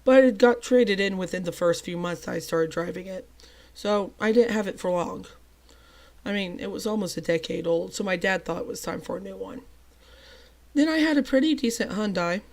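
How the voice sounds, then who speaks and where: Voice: mid-range voice, moderate pace, gravelly. A young adult host telling a personal story in a chatty, self-deprecating way, voice still a little off from a cold. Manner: chatty and self-deprecating, with lively emphatic bursts. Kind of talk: storytime narration.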